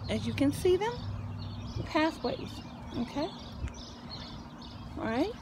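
An older woman with a high voice that she says is wearing out speaks in a lively, churchy, encouraging way. She films herself outdoors in her backyard on a handheld phone, with a faint hiss behind her. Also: slow pace. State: losing her voice. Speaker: vlogger. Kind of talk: casual home video tour.

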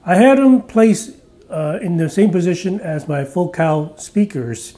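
A mellow-voiced man in his forties talks through a speaker review at an easy pace, with plenty of uh's and restarts. He's filmed at chest height in a bare, echoey living room with no carpeting. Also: sits high, unhurried. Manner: relaxed, chatty, with frequent uh fillers and restarts. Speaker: audio reviewer. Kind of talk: casual product review.

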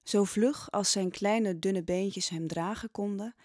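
A woman's voice: medium pitch, slow pace, darkish tone, no room sound, clean studio sound. A woman reading scripted storytelling narration for an audio drama.

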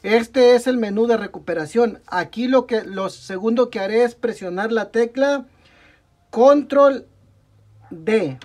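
A young man with a Mexican Spanish accent, walking through a computer repair step by step at his workbench, often pausing to correct himself. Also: very high voice, a little mellow. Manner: talks through each step while working, frequent "ok, amigos" and self-corrections. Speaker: tech tutorial host. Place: indoor workbench at a desk.

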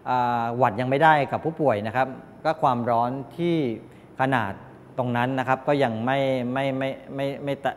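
An older man giving a classroom lecture as a teacher, explaining in a halting way with frequent polite particles. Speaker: technical college lecturer. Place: medium-sized indoor classroom with students. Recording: reverberant room, clear background noise, low rumble.

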